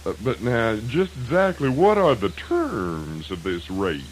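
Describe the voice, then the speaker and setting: A man's voice: medium pitch, wildly swooping pitch, measured pace. A man playing a cocky, gleeful trickster rabbit in a children's radio folk tale, with a Southern American accent and a folksy, teasing, giggly drawl. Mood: smug. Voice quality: giggly, swooping delivery. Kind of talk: children's radio drama acting. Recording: noisy old radio drama recording.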